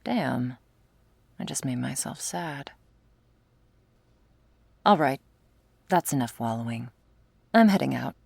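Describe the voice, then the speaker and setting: A woman playing a sardonic, predatory vampire with a secret wistful side, in an intimate close-miked ASMR roleplay, wry and teasing, sometimes slipping into a breathy whisper. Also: extremely low pitch, expressive, slow, long pauses, darkish tone, no room sound, heavily acted. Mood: resigned, teasing. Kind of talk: intimate ASMR roleplay, scripted monologue to listener. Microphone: close, dry studio mic.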